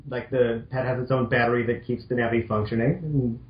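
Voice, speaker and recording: A man's voice: medium pitch, level tone, a little fast. A young man chatting casually as a commentator, nerdy and nitpicking, with an exasperated manner. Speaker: co-reader and commentator. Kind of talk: casual nerdy joking chat. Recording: dull, echoey home recording.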